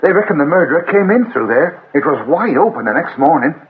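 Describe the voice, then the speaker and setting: An actor playing a deferential, chatty local police constable in a British radio drama, eager and apologetic. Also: male voice, on the high side, animated, quick pace, lightly acted. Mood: informative, grim. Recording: tinny band-limited radio recording, faint noise.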